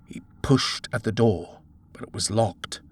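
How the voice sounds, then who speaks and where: A man's voice: on the low side, measured pace, slight background noise. A man reading a horror story as a dramatic audiobook narrator.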